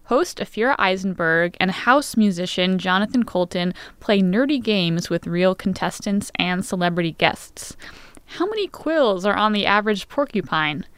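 A woman's voice: mid-range voice, a bit bright, clean tone, no echo. A young woman reading a scripted radio promo.

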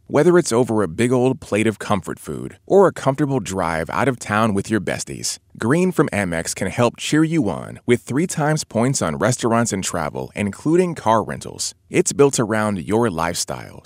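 A man's voice: on the low side, dry recording, clean studio sound. A man working as an announcer, reading a scripted sponsor message. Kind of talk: scripted ad read.

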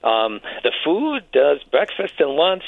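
A man's voice: on the high side, unhurried, gravelly, dry recording. A man calling into a radio show, talking in a rambling, casual way. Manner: rambling, casual, lively intonation. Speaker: caller. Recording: phone line.

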